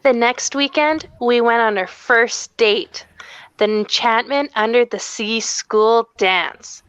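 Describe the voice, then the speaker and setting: A woman's voice: on the high side, takes it slowly, a bit bright, smooth, dry recording. A woman reading the part of a wistful middle-aged mother reminiscing fondly at an amateur table read, on a lo-fi microphone. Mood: dreamy, nostalgic.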